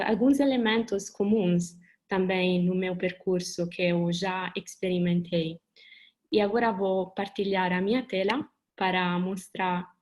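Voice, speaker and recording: A woman from Italy with an Italian accent speaking Portuguese, giving an earnest webinar talk over a video call and pausing now and then to search for words. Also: mid-range voice, darkish tone. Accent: Italian, with Brazilian Portuguese touches.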